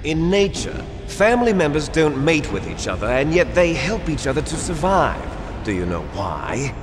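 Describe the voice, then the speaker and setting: A bitter, menacing game villain in a long echoing hall. His fairly high voice swings dramatically as he lectures like a doomed fatalist, with music behind him. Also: sing-song, moderate pace, background noise. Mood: grim lecturing. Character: bitter, fatalistic villain, cloned son of a soldier.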